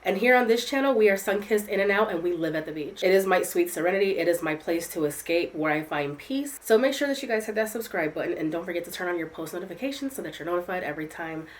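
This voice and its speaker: A woman's voice: lowish voice, animated, fast, few pauses, slight background noise. A woman content creator chatting candidly to her viewers in a casual vlog, congested from a head cold. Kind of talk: casual talking-to-camera vlog.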